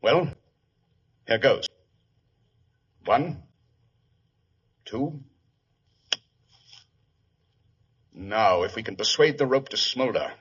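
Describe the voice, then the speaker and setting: An actor playing a brisk, imperious detective with a British accent and a clipped, commanding, coolly assured manner in old radio drama style. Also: male voice, medium pitch, animated, a bit bright, husky, heavily acted. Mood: focused. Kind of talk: 1940s radio drama acting. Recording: dull, muffled old radio broadcast.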